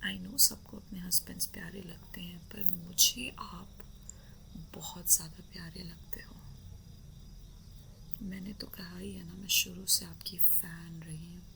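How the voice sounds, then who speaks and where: A woman playing a shy, playful, affectionate wife in a romantic roleplay ASMR, speaking in a coy, breathy, drawn-out whisper. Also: on the low side, fairly even intonation, pushed and bright, slight background noise, exaggerated voice, poor audio. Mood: adoring.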